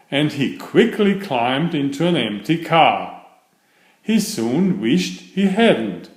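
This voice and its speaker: A man reading a children's storybook aloud as a narrator. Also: a little high. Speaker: children's story reader and author. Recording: roomy, echoing sound.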